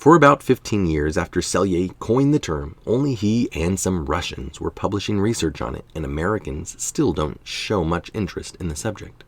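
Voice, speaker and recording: A man's voice: deep voice, lively intonation, normal speed, hardly any pauses, no echo. A tired middle-aged man reading an article aloud, informal, with casual asides. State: tired late at night. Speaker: article reader.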